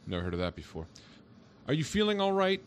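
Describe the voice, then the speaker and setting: A man's voice: a little high, wildly swooping pitch, quick pace, a little hiss or hum. An actor playing a patient, bemused male police officer in a naturalistic audio drama, questioning in a conversational, hesitant way.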